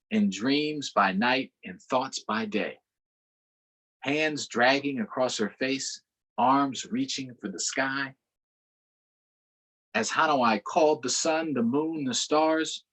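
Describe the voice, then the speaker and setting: A man reading a picture book aloud to children in an unhurried way, pausing for short explanatory asides. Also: mid-range voice, fairly bright tone, husky, poor audio. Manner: unhurried, adds explanatory asides to young listeners. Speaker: storytime reader.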